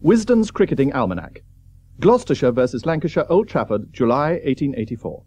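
An actor narrating a period cricket almanac in a radio play, formal and measured. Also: male voice, mid-range voice, sing-song, darkish tone, lightly acted. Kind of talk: scripted radio drama narration. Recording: hissy old radio broadcast recording.

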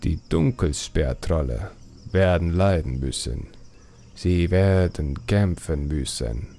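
A man voicing an ancient, wise spirit in an audiobook, grave and drawn-out in delivery. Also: sits low, lively intonation, dark tone. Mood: solemn. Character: ancient wise spirit, solemn and slow, all-knowing. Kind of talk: audiobook character acting.

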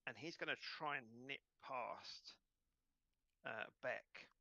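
A man's voice: medium pitch, slow pace, gravelly, sharp tone, no echo, lo-fi sound. A man running an online tabletop game as game master, talking players through their turns.

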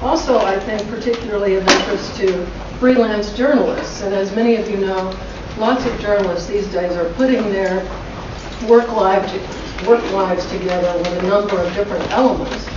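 A woman's voice: mid-range voice, normal speed. A middle-aged woman introducing guests at a forum with chatty asides, heard from across a conference room. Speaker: school director and forum host. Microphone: far room camera mic. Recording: echoey room from far off, dull lo-fi, noisy.

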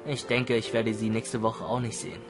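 A man's voice: lowish voice, flat delivery, normal speed, lightly acted. A man playing a smug, grumpy older teenage boy in an audio drama, with a curt, teasing, dismissive manner. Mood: grumbling. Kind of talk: fan audio drama dialogue in German. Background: music.

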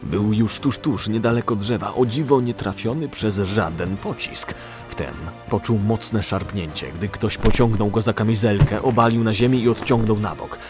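Male narrator, deep voice, scripted fantasy storytelling, music underneath. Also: moderate pace.

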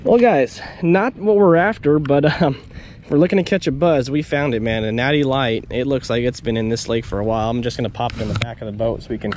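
A man's voice: medium pitch, quick pace. A young man casually narrating a fishing trip from a small boat on a lake, talking in a laid-back way. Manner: laid-back, says "dude" and "bro". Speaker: fishing vlogger. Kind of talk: casual on-the-water commentary. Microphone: action camera on the boat. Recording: loud wind and boat noise outdoors.

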